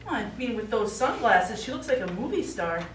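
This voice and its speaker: A woman's voice: fairly high pitch, sing-song, moderate pace. An actress playing a grown daughter in a stage play, teasing yet businesslike as she talks with her elderly father. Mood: teasing surprise. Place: small theatre stage, recorded from the audience. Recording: echoey theatre, far mic, noisy.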